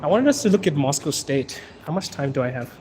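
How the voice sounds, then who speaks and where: A young man from Zimbabwe chats on a phone stream as he walks a city street, his voice sitting a little high. His sinuses are bothered by pollen, yet he stays loose and laughs easily. Faint traffic murmurs in the background. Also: quick pace. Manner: relaxed and chatty, laughs often, drawls some words. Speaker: host. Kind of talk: live Q&A stream while walking.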